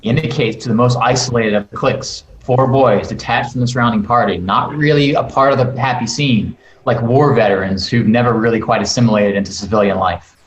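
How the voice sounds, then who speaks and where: A man's voice: medium pitch, fairly even intonation, fairly quick, hardly any pauses, a little hiss or hum. A man narrating a script at a table read, delivering stage directions in a dramatic style. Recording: echoey room.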